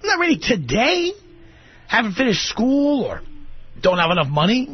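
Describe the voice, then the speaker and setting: Guy doing a pleading syrupy boyfriend, high husky voice, radio bit. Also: wildly swooping pitch, normal speed, heavily acted. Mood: sweet, coaxing. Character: sweet-talking boyfriend pleading with his pregnant girlfriend. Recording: muffled radio broadcast.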